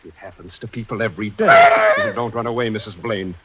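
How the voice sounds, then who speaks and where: A man's voice: mid-range voice, wildly swooping pitch, a little fast, lo-fi sound. A man playing a smug, coldly taunting killer with sinisterly polite threats in a 1940s-style radio thriller. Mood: gloating, taunting. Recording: old radio recording, clear noise.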